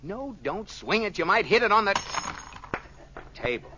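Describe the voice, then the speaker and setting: A noisy, muffled vintage radio recording of an exasperated husband. His fairly high voice swings wildly as he stammers and sputters through an old-time sitcom. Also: sing-song, unhurried. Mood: flustered, coaxing. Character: exasperated, flustered suburban husband stuck minding a baby. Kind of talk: 1950s radio sitcom acting.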